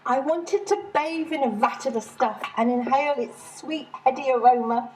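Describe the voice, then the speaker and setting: A woman reads a humorous first-person story. Her voice sits a little high, and she delivers it as scripted narration by the author. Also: normal speed, a little mellow, a little hiss or hum, low-quality recording. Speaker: author reading own short story.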